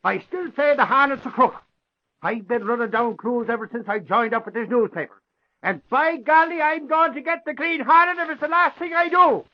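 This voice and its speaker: Shrill, excitable newshound. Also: male voice, normal speed. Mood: indignant, stubborn. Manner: folksy, blustering exclamations. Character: excitable, stubborn, blustering male newspaper reporter. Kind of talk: old-time radio drama acting. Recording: dull vintage radio recording.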